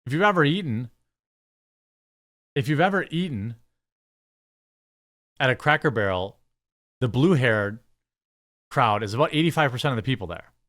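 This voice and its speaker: A man's voice: mid-range voice, expressive, normal speed, pauses often, fairly bright tone. Blunt, sarcastic American male commentator. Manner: blunt, sarcastic, exasperated asides. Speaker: commentary show host. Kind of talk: opinionated news commentary, reading articles aloud and reacting.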